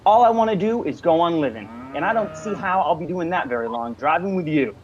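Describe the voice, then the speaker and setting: A man's voice: on the high side, expressive, a little fast, highs cut off, a little hiss or hum, natural voice. A man playing a brash, folksy hitchhiker in an amateur radio thriller, talking bluntly and colloquially. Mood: alarmed, annoyed. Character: brash, folksy, easygoing hitchhiker turning wary.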